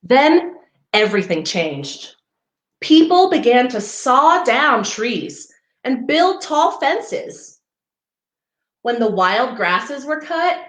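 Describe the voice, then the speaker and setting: A woman reads a picture book aloud for children in an echoey room. Her delivery is unhurried and very animated, swinging up and down in pitch as she speaks directly to her young listeners. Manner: expressive, pausing to point out pictures to young listeners. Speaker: parks foundation outreach coordinator hosting story time. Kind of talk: read-aloud children's story time. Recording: roomy, echoey livestream sound.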